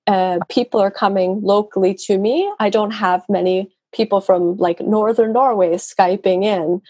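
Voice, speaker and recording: A woman's voice: medium pitch, steady tone, normal speed, darkish tone, no room sound. A woman, guest on a podcast interview over a video call, talking conversationally with fillers and restarts. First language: English. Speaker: guest, nutrition consultant.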